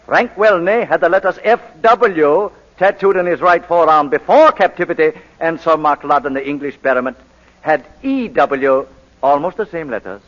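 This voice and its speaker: An actor playing a suave, relentless courtroom barrister in 1940s radio style, politely needling with hesitant pauses before barbed questions. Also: male voice, fairly high pitch, fairly bright tone, close to an everyday voice. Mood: sardonic. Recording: muffled old 1940s radio broadcast.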